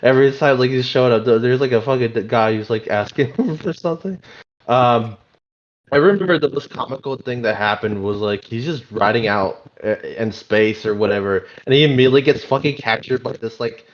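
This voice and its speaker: A man's voice: medium pitch, brisk. Male panelist, muffled lo-fi mic, casual, amused, stammering, foul-mouthed. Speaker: podcast panelist. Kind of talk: casual group chat about a game. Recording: dull, slightly lo-fi mic.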